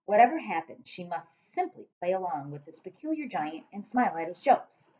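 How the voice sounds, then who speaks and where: A woman teacher reading a children's storybook aloud to pupils with expressive narration. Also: fairly low pitch, normal speed, poor audio.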